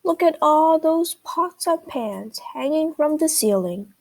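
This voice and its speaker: A woman's voice: high voice, expressive, takes it slowly, pitched above the performer's own voice, full character voice. A woman voicing a fussy, emphatic owl in a children's storybook, often questioning. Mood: emphatic, surprised. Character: fussy, emphatic owl witness at a cake contest.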